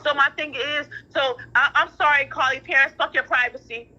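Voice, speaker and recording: A middle-aged woman with a high, very sharp and forceful voice speaks over a muffled phone connection. She is blunt and emphatic, hammering points by repeating them in heated, casual conversation. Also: fairly even intonation, brisk. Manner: emphatic, repetitive, blunt with profanity. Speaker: parent in a phone discussion. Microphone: phone call. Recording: muffled telephone-like audio.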